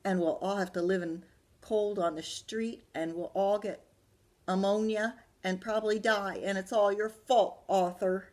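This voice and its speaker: A woman voicing a bossy, melodramatic little girl from a storybook, loud, accusing and emphatic. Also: medium pitch, fairly even intonation, a little slow, pitched above the performer's own voice, natural voice. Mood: doom-laden accusing.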